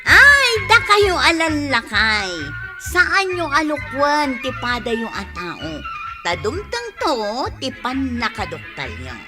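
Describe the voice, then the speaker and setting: A woman's voice: high-pitched, huge pitch swings, takes it slowly, music in the background, heavily acted. A woman playing an outspoken woman in a radio drama, speaking with an Ilocano Filipino accent. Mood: stern accusing.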